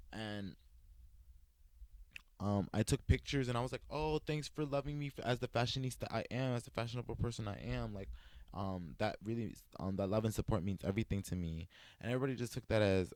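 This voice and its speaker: A young man from the States telling personal stories in a casual sit-down chat, animated and playful, quoting himself in a higher voice. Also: a little fast, no echo. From United States. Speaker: vlogger.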